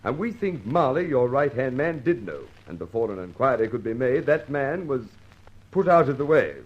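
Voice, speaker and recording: An actor playing a dogged, formal, firmly polite police inspector in an old-time radio detective serial. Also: male voice, medium pitch, big pitch swings, moderate pace, dull audio. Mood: firm, accusing. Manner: formal, insistent.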